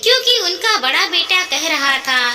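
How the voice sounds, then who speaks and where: A woman narrating a scripted family story in an expressive, dramatic storytelling manner. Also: extremely high pitch, normal speed. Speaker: narrator of moral stories. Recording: echoey, long reverb.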